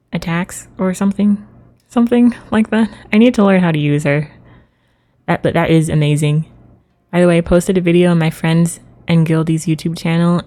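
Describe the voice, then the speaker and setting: A woman's voice: fairly low pitch, mellow. A woman hosting a game livestream, chatting casually with her viewers as she plays. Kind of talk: casual chat with live viewers while playing.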